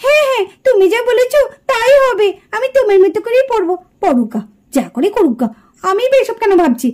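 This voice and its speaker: A woman's voice: very high voice, lively intonation, a little fast, a little mellow. A woman playing a proud, jealous young village woman in a puppet cartoon, curt and sarcastic with a scornful edge. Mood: annoyed, scornful. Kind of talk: puppet cartoon drama acting.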